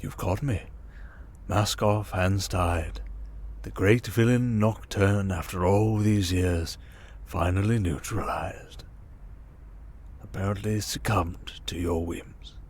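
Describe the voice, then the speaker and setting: A man playing a smug, manipulative criminal mastermind in an ASMR roleplay, calm and condescending, coaxing with endearments and soft breathy murmurs. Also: low-pitched, slow. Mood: smug, amused. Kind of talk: ASMR roleplay monologue, dramatic.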